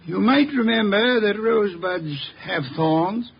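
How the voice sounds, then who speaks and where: A man acting a brilliant, haughty middle-aged detective in a 1950s radio drama, speaking in a pompous, formal, deliberate way, on a muffled old recording. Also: high voice, creaky, heavy background noise. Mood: wry, weary. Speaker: radio actor in a detective series.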